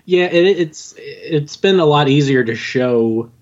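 A man's voice: on the high side, measured pace, highs cut off. A man chatting informally as a podcast guest about his own writing, with a self-deprecating manner. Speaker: podcast guest, audio drama writer and narrator. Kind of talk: casual interview chat.

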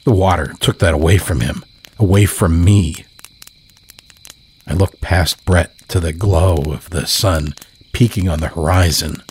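A man narrating scripted horror fiction in a measured, deliberate way. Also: low-pitched, darkish tone, breathy edge. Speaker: storyteller, narrator.

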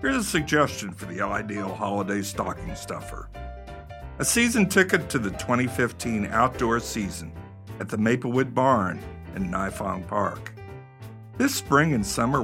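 Animated low-pitched man in radio promo, music. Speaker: theater board president, promoting shows.

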